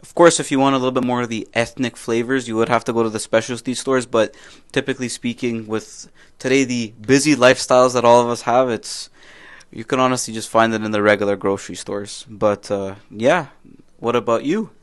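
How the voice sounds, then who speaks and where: A man's voice: medium pitch, fairly even intonation, fairly quick, clean tone. A young man from Canada co-hosting a casual podcast, friendly and prone to repeating himself and restarting his sentences. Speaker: podcast co-host.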